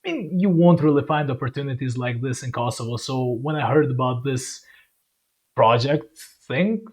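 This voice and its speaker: A man's voice: medium pitch, moderate pace, darkish tone. Rambling young podcast host, a man. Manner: friendly, rambling, self-correcting. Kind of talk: casual podcast hosting.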